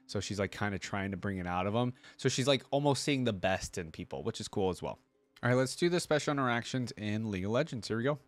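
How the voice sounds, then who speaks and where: A man's voice: lowish voice, animated, fast, no echo, faint music behind. A man hosting a reaction video, giving casual, appreciative commentary and musing aloud.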